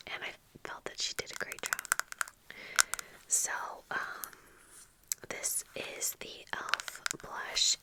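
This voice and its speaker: A woman in her thirties speaking in a breathy whisper, chatting casually and haltingly in ASMR style close to the mic. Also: low-quality recording. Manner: casual, halting, meandering storytelling. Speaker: ASMR video creator. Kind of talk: whispered ASMR get-ready-with-me chat. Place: small indoor room with bookshelves. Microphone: close furry-covered microphone on desk, earphones in.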